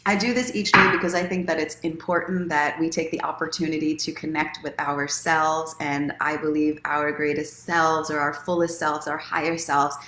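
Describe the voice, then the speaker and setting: Low-voiced woman in an echoey room. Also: little pitch movement, moderate pace, few pauses, bright, forceful tone, a little hiss or hum. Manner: encouraging, conversational, self-help tone. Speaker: host of a daily online story time. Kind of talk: expressive reading aloud from own book, mixed with casual livestream chat.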